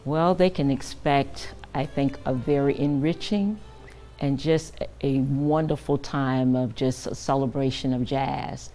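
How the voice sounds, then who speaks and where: A woman's voice: very deep voice, steady tone, unhurried, dark tone, soft background music. An older woman guest in a TV studio interview, speaking earnestly and measuredly with frequent fillers, on a lapel mic. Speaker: guest, director of a children's camp. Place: TV news studio.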